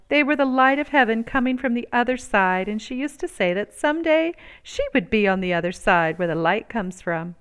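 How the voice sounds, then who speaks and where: A woman with a clean, resonant voice narrates a children's picture book. Her pitch sits in the middle range, and she phrases the story expressively, with measured emphasis on key words. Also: a bit bright, clean tone. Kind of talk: expressive read-aloud narration.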